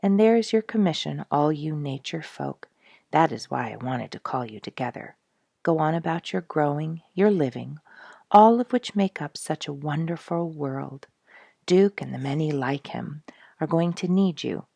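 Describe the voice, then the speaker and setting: A woman reading a story aloud in an expressive, earnest and deliberate audiobook narration. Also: sits low, gravelly, dry recording.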